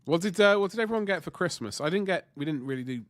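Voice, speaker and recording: A man's voice: sits high, lively intonation, fast talker. A man with a stuffy nose and sore throat from flu, chatting casually with viewers while he paints miniatures, rambling and correcting himself. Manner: rambling, self-correcting, mild swearing. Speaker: livestream host painting miniatures. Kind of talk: casual chat answering viewer comments.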